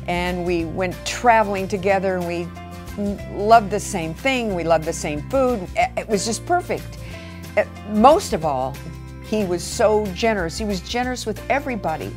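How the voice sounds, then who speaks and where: Older woman with a lowish voice. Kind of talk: fond documentary interview reminiscence. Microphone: lapel mic. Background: music.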